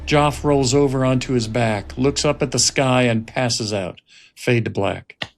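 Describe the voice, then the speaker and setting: A man with a mid-pitched voice and a slow pace. He speaks deliberately as a host and director, leaving pauses between phrases. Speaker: writer-director and podcast host. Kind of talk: scripted narration and reflective talk.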